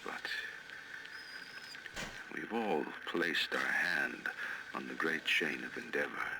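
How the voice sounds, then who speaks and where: A brooding, disdainful man of power with an extremely deep voice. He speaks slowly and grandly, in florid oratory, for a game audio diary. The recording has clear old-radio noise. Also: heavily acted. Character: pompous, brooding male magnate, grave and disdainful. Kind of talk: dramatic audio diary monologue in a video game.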